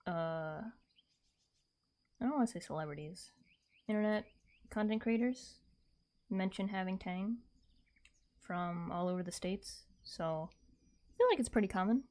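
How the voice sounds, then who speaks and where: A woman's voice: medium pitch, a little slow, pauses often, a little mellow. A woman chatting casually as she hosts a livestream, talking to her viewers.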